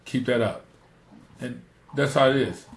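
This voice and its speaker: A middle-aged man with a naturally rough voice, hosting a podcast and giving emphatic, repeated advice in casual chat. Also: medium pitch, unhurried, reverberant, a little hiss or hum, rough recording. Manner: emphatic, repeats points for stress. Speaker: podcast host.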